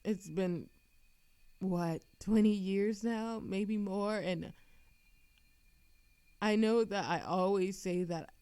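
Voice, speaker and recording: A woman performs an immortal woman hopelessly in love, reckless and quick to get defensive. Her mid-pitched voice stumbles with feeling as she addresses the listener in an intimate audio drama. The sound is very dry, with no room around it. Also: steady tone, mellow, clean tone, no room sound, natural voice. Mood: anguished confession. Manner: halting, stammering, emotional. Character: lovesick immortal woman, defensive, reckless, confessing to a Grim Reaper. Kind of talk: audio roleplay monologue, acted intimately to the listener.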